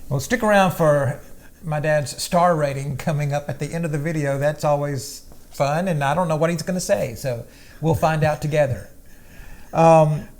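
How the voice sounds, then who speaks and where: A man's voice: fairly high pitch, moderate pace. A middle-aged man hosting his own travel vlog, chatting casually and playfully with his father indoors. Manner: chatty, playful, asks questions. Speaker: vlog host. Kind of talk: casual chat.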